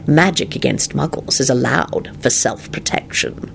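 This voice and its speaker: A woman voicing a sarcastic, quick-tempered man in a story, with a threatening edge. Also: lowish voice, sing-song, a little hiss or hum. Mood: cool disdain. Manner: sardonic, threatening. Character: coolly sarcastic, quick-tempered man, dangerous when angry. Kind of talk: audiobook character acting.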